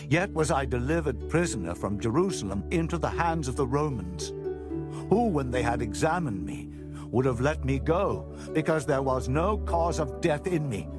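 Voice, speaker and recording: Earnest captive apostle Paul, acted by a man, very animated. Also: on the high side, close to an everyday voice. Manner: solemn, deliberate, oratorical. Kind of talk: dramatized Bible audio acting.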